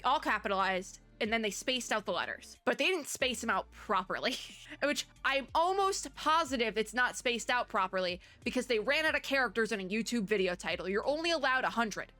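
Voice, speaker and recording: A woman giving casual, excited reaction commentary in a chatty, rambling and expressive way. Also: on the high side. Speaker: reaction video host.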